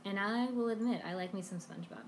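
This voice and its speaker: A woman's voice: medium pitch, fast talker, a little hiss or hum. A woman hosting a language lesson live stream, talking in a casual, chatty and encouraging way.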